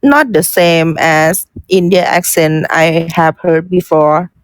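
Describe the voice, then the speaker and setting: Slow, hesitant Thai-accented young woman. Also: on the low side, no room sound. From Thailand. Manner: hesitant, searching for words, many restarts. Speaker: guest, content writer. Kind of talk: casual English conversation.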